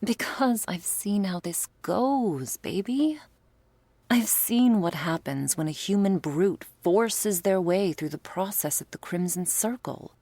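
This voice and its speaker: A woman playing a stern but devoted vampire lover in an ASMR roleplay, speaking in a formal, measured, affectionate way. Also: mid-range voice, lively intonation, warm tone, no room sound. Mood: grave, firm.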